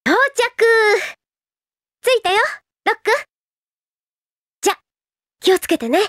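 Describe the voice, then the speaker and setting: An actress playing a devoted, gentle teenage girl adventurer in an anime-style video game, sincere and tender. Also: very high-pitched, big pitch swings, slow pace, no room sound, studio quality, heavily acted. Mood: tender, encouraging.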